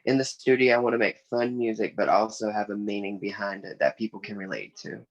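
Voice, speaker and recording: A man's voice: lowish voice, a little fast, muffled recording. A young man with a Southern US accent, talking casually and earnestly as an interview guest. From South Carolina, United States. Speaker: interview guest, author and musician.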